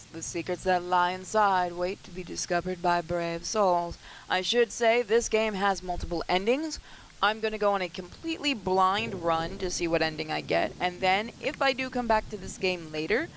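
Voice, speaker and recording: Slightly hoarse gamer with joking asides. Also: mid-range voice, a little hiss or hum, poor audio. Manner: joking, self-mocking asides. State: slightly hoarse, getting sick. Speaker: gaming YouTuber. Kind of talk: let's play commentary with read-aloud narration.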